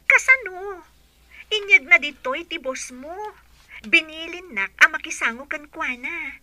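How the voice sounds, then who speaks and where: A woman playing an excited young friend who shares gossip. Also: very high voice, unhurried, heavily acted. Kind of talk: radio drama acting.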